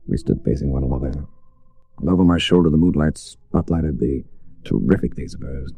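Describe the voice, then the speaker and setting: A male actor with an American accent playing a tough, smitten adventurer hero who narrates his own story in measured 1940s radio drama style. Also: very deep voice, gravelly, breathy edge, highs cut off, full character voice. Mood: smitten, reflective. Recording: restored 1940s radio recording.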